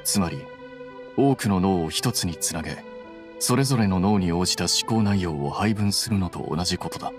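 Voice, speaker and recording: A man's voice: sits low, animated, normal speed, over music. An actor playing a cool, analytical young male scholar in a Japanese anime game, explaining things in a composed, precise, matter-of-fact way.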